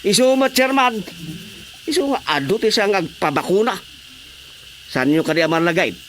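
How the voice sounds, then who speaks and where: High-pitched, argumentative Filipino village councilor. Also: male voice, moderate pace, slight background noise. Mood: skeptical. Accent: Filipino, Ilocano. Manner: argumentative, excitable, scoffing. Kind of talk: radio drama comedy acting.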